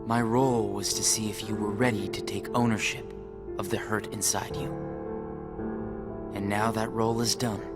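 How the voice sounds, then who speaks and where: A man playing a brooding, bitter young hooded rival in a video game cutscene, with a grave, deliberate delivery. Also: on the low side, flat delivery, lightly acted. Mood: resigned. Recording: loud music behind the voice.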